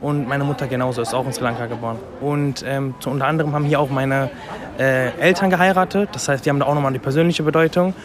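A man's voice: medium pitch, fairly even intonation, fast. A man in his twenties from Germany, speaking spontaneously as an interviewee. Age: 20s. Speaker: interviewee, temple visitor. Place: busy festival site, recorded on location. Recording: crowd noise around the voice.

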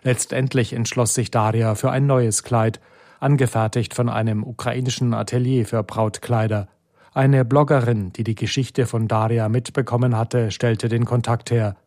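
A man's voice: medium pitch, level tone, moderate pace, darkish tone, dry recording, clean studio sound. A man reading a scripted news report as a radio reporter.

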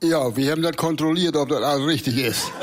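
A man whose first language is Low German, speaking with a northern German accent, his voice a little higher than most men's. He answers as a talk show guest in short, plain sentences, casual and unadorned. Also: a little fast, a crowd in the background. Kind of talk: casual chat.

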